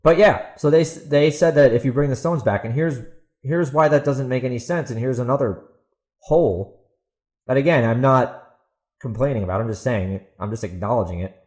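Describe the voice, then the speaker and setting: A man's voice: medium pitch, normal speed, a little mellow. Casual, opinionated man ranting solo. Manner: opinionated, emphatic, conversational asides. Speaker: podcast host and author. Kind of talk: casual solo podcast rant.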